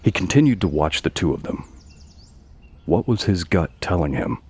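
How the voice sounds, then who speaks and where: A man's voice: low-pitched, sing-song, normal speed, a little mellow, slightly rough. A man narrating a scripted audio story.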